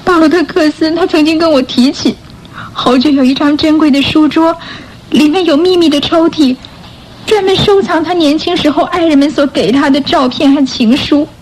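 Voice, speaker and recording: A woman's voice: high voice, moderate pace, a little mellow, slight background noise, natural voice, poor audio. A woman acting in a radio drama as a timid, repentant young orphan thief, speaking earnestly and hesitantly, as if pleading. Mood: earnest, hopeful.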